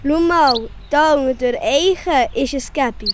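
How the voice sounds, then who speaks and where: A woman puts on a naive little animal boy from a children's radio play. The voice is high and childlike with a Swiss German accent, with faint outdoor noise behind it. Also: a little slow. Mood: eager, childlike. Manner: childlike, questioning. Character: Hops, small naive male forest animal child.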